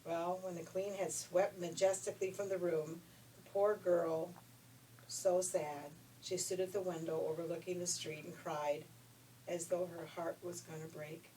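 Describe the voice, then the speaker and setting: A woman reading a children's story in an expressive, folksy way. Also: on the low side, measured pace. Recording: noisy, distant room recording.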